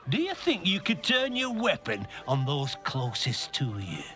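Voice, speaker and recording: A sinister, insinuating weapon merchant. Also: male voice, on the high side, wildly swooping pitch, bright, forceful tone, heavily acted. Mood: menacing. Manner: smooth, insinuating, unhurried. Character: sinister male weapon merchant probing killers. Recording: game audio with music underneath.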